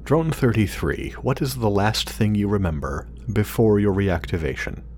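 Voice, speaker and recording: A man's voice: fairly low pitch, sing-song, normal speed, darkish tone, slight background noise. An actor playing an irritable, obsessive scientist muttering hesitantly to himself and his drone in an audio drama. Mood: businesslike. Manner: muttering, self-reassuring, hesitant, swearing.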